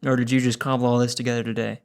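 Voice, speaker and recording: A man's voice: mid-range voice, level tone, very fast, clean tone. A man co-hosting a casual chat, chiming in with short comments and questions. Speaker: co-host.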